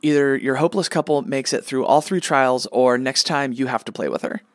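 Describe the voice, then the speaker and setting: A man's voice: medium pitch, a little fast, no room sound, studio quality, lightly acted. A man playing a petulant, imperious god who acts like a gaming mama's boy in a comedic radio play. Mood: bossy, flippant. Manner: flippant, whiny, imperious.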